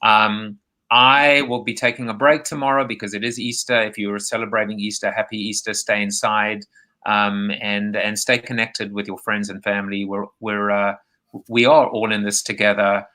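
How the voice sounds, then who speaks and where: A man's voice: fairly low pitch, level tone, normal speed, bright, forceful tone, rough recording. A man with a South African accent hosting a live online interview show from home, talking in a rambling way with many restarts and fillers. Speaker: host. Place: home, remote video call.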